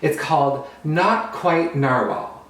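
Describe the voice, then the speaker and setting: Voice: medium pitch, expressive, slow pace, a bit bright, far from the mic. A drag queen host reading a children's picture book aloud in a theatrical way, drawing out words for young listeners. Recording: roomy, echoey, away from the mic.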